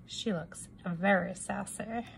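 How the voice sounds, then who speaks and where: A woman reading a picture book aloud to children as an expressive storyteller. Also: medium pitch, slow pace, gravelly, slight background noise.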